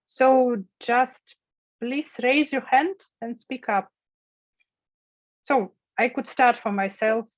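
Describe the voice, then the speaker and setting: A woman's voice: on the high side, takes it slowly, long pauses, no room sound. Encouraging woman hosting a video call. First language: Russian. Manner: friendly, encouraging, prompting participants. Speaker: workshop host. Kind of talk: online workshop moderating. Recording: dull lo-fi call audio.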